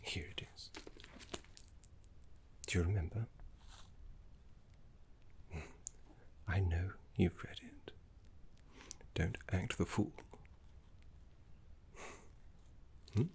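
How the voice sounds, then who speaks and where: A man voicing a gentle, fussy, scholarly older necromancy teacher in a soft ASMR roleplay, breathy and half-whispered, with polite, hesitant phrasing. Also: low-pitched, fairly even intonation. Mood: playfully chiding. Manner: polite, hesitant, slow and deliberate.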